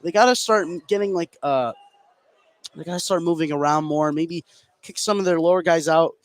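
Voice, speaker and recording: Male color analyst, slightly high voice, informal conversational delivery for live sports radio. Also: moderate pace. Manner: casual, informal phrasing. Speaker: color commentator.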